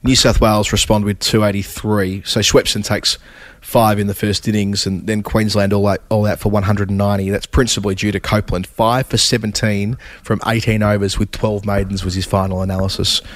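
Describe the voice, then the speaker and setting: A man, hoarse and raspy from a cold, hosting a casual cricket chat full of scores and restarts. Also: fairly low pitch, little pitch movement, fairly quick, no echo. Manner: stat-heavy, with frequent fillers and restarts. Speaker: cricket podcast host.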